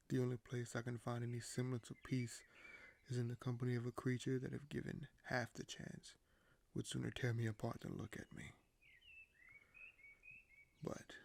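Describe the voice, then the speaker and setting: A man playing a weary, paranoid, dryly candid king in an audio roleplay, speaking in measured, formal phrases with hesitant pauses. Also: mid-range voice, creaky, a little mellow. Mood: weary, confiding. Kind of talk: audio roleplay monologue to a silent listener.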